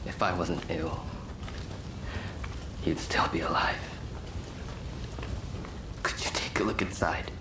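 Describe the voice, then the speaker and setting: A man's voice: low voice, fairly even intonation, slow, long pauses, noisy background. A man voicing a grave, stubborn and loyal ailing samurai swordsman in a dramatic video game cutscene. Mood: grieving, subdued.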